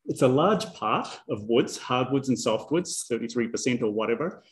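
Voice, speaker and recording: A man's voice: medium pitch, expressive, moderate pace. A man in his sixties from New Zealand, with a New Zealand accent, giving a public science lecture with dry, self-deprecating humor. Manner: self-deprecating, chuckles between dry asides. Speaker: guest lecturer, professor.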